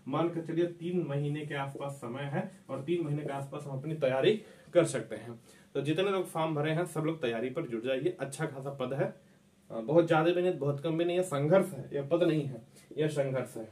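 A man in his thirties teaches in an informal, emphatic way, repeating key points for his students. His voice sits a little high. The room rings with clear echo, and he is some way from the microphone. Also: fairly quick, a little mellow. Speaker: exam coaching teacher. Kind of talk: informal whiteboard lecture to camera. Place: small indoor classroom at a whiteboard. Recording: echoey room, distant microphone.

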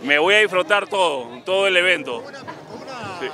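A middle-aged man from Brazil chatting in a jovial, animated way as a visiting guest, on a handheld mic outdoors. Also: high voice, moderate pace, a crowd in the background. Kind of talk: casual interview chat. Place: outdoors at a crowded festival table. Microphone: interviewer's handheld microphone. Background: music and outdoor sounds.

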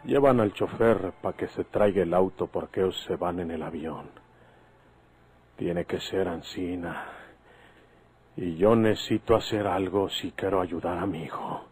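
A man's voice: sits low, a little slow, darkish tone, a little hiss or hum. An actor playing a vengeful rustic Mexican bandit father in an old radio drama, scheming and defiant, muttering in country speech. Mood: defiant, brooding.

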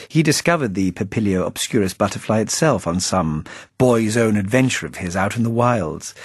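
Guy doing a breezy posh english spy boss, suave and affable, husky, really lively pitch, audiobook style. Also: dry recording, clean studio sound. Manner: jaunty upper-class patter, drawling endearments. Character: chipper, suave British spymaster, breezy and affable.

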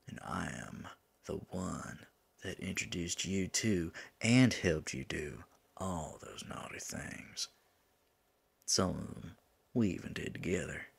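A man acts out a flirtatious male demon in an intimate ASMR roleplay, smug but smitten. His deep drawl crackles with fry and swings expressively as he teases, slipping into breathy murmurs. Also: full character voice. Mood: seductive.

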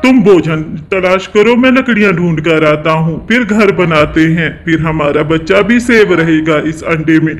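A responsible cartoon father bird played by a man speaks in a fairly high male voice. He sounds practical and agreeable. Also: moderate pace, few pauses, a little hiss or hum, natural voice.

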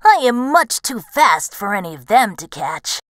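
Young male storybook fox acted by a woman: earnest, excitable, cocky, lively pitch, dry studio sound. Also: a little slow, no echo. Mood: eager, confident. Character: young male fox, eager, naive, boastfully confident.

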